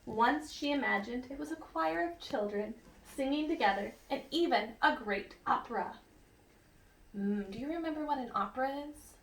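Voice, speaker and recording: A woman reading a picture book aloud to children in an expressive, teacherly way, pausing to ask questions and explain. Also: sits high. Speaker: read-aloud storyteller for children. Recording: roomy, distant mic with faint noise.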